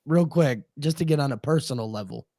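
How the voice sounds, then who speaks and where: A man's voice: mid-range voice, a little fast, warm tone, no room sound, clean studio sound. A man in his twenties co-hosting a casual wrestling stream, talking animatedly, swearing and repeating words. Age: 20s. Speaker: co-host. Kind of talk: casual live-stream chat.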